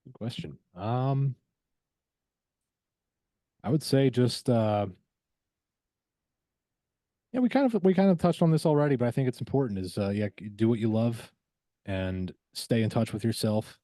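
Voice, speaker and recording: A man's voice: mid-range voice, animated, measured pace, pauses often, dark tone, dry recording. A man speaking casually as a podcast guest, in an easygoing, informal and encouraging way. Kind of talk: casual interview chat.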